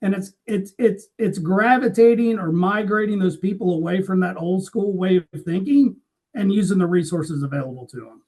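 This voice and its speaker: A man's voice: high voice, moderate pace, a little mellow. A man speaking as a panelist in an unscripted industry discussion, often chiming in with agreement and adding practical points. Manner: agreeing, interjects short affirmations. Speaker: freight industry podcast panelist.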